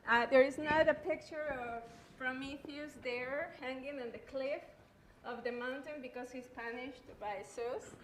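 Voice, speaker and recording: A woman with a Peruvian Spanish accent giving a personal talk as a guest speaker, hesitant, nervous and self-deprecating. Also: a little high. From Peru. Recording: echoey room, clear background noise.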